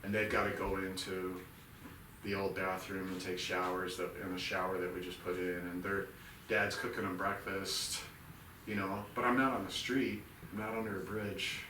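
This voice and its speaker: A man's voice: sits low, little pitch movement, normal speed, bright, forceful tone, slight background noise. A middle-aged man telling his story as a podcast guest, earnest and rambling. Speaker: podcast guest, veteran nonprofit founder. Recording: roomy and distant from the mic.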